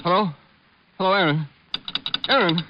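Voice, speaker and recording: Man playing a high-pitched, rattled radio crook. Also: moderate pace, noisy background, natural voice. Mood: anxious. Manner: defensive, stammering, easily rattled. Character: slick, nervous crook who folds under threat. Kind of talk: old-time radio drama acting. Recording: muffled vintage radio recording.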